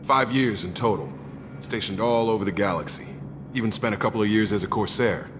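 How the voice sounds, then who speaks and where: Brief: actor as a blunt, tired male veteran, very low register, game audio with hiss. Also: fairly quick, natural voice. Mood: frank. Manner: frank, world-weary. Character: weary, candid male ex-soldier. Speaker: game character. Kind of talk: video game dialogue. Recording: game audio with long reverb and clear hiss.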